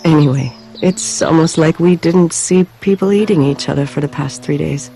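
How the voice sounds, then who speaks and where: A kind, weary mother in a game drama. Her voice is fairly low and mellow, her delivery soft and reflective. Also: moderate pace, vocal fry, soft background music, poor audio. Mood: wistful. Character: gentle, weary mother and veterinarian, adult woman. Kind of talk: naturalistic video game acting.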